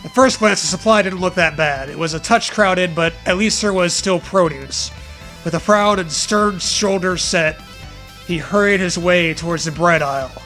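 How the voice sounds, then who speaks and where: A man's voice: high-pitched, normal speed, bright, forceful tone, faint music behind, rough recording. A man with a mocking, profane manner, reading fan fiction aloud for comedy and bantering casually with a co-host.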